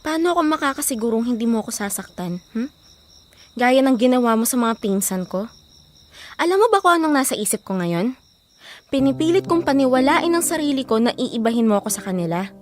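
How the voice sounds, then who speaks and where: A woman's voice: on the high side, expressive, fairly quick, slight background noise, close to an everyday voice. A woman acting a hurt, guarded young woman in an emotional, pleading but firm manner for an audio drama.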